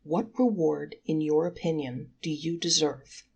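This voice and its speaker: A woman's voice: low-pitched, unhurried, gravelly, a little mellow, slightly rough, heavily acted. A woman voicing a solemn heavenly judge in a folktale, pronouncing decrees in a formal way. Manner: formal, declaratory.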